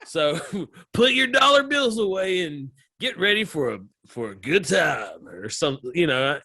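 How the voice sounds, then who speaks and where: A man with a Southern drawl tells his stories with energy, laughing as he goes and acting out the people in them. His voice is bright and forceful and sits a little high. The sound is close and dry. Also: normal speed, dry recording. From American South. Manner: animated storyteller, laughs often, acts out voices. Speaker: comedian guest. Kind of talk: casual interview chat.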